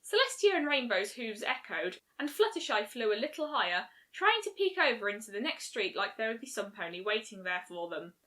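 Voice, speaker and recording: A woman's voice: on the high side, very animated, brisk, hardly any pauses, sharp tone. A woman narrating a scripted fan fiction story as its reader.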